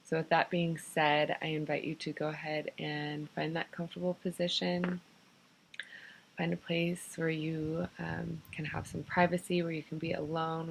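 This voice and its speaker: A woman leading a guided meditation in an unhurried, soothing manner, giving gentle improvised instructions in short phrases with pauses. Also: deep voice, gravelly, a little hiss or hum, poor audio. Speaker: guided meditation teacher and host.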